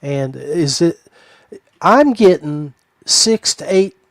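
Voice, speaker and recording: A man's voice: a little high, lively intonation, slow pace, dry recording, studio quality. A man hosting a podcast in casual chat, with a folksy way of addressing his listeners. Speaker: podcast host. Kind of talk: casual chat about fishing gear.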